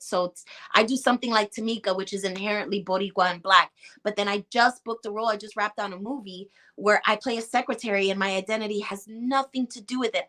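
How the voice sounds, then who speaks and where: A woman's voice: medium pitch, pushed and bright. A woman with a Chicago Puerto Rican accent, an actress talking passionately and emphatically as a guest in a casual conversation. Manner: passionate, emphatic, acts out quoted reactions.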